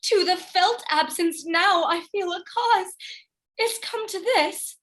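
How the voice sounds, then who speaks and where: A woman's voice: extremely high pitch, slow, a bit bright, full character voice. A woman playing a jealous, reproachful young woman, a neglected lover, in a Shakespearean radio play.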